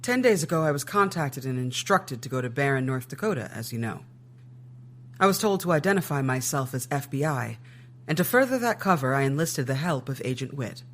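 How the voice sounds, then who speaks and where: A man acting in an audio drama as a formal government agent, giving a measured, matter-of-fact briefing. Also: mid-range voice, expressive, a bit bright. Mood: businesslike.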